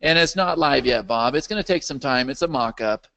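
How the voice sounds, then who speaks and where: A man hosting a live question-and-answer video call for his software's users. His voice is worn from a long day of talking, and he keeps checking that his listeners are following. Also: mid-range voice, brisk, vocal fry. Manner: animated, checks in with the audience often. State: losing his voice. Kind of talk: live webinar Q&A with screen share.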